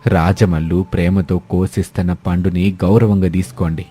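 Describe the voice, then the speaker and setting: Man voicing a deep, stern village elder. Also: level tone, moderate pace, mellow, slightly rough, soft background music. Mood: scolding. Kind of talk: scripted moral-story cartoon dubbing.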